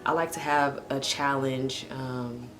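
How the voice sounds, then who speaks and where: A woman's voice: very deep voice, fairly even intonation, takes it slowly, over music. A woman in her late twenties talking candidly to camera in a casual vlog, full of "like" and "um". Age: 20s. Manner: candid and chatty, heavy use of "like" and "um". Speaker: vlogger. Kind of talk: casual personal talk to camera.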